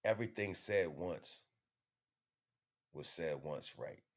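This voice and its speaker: A man delivering an impromptu spiritual monologue in an emphatic, repetitive, slangy street-preacher manner, with a husky voice. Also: low voice, lively intonation, takes it slowly. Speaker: spiritual teacher streaming online.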